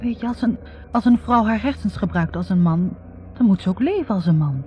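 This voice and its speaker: An actress playing a bitter, reflective young woman academic in a 1960s radio drama, speaking in a halting, confessional way. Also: mid-range voice, animated, natural voice. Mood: resolute, regretful. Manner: halting, confessional, repeating words. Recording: muffled 1960s radio recording.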